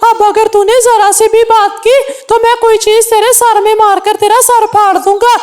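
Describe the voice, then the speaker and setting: A woman's voice: extremely high pitch, level tone, moderate pace, darkish tone. A woman voicing a touchy, quarrelsome male bird in a children's cartoon, with a huffy, argumentative way of talking. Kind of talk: Hindi children's cartoon dialogue.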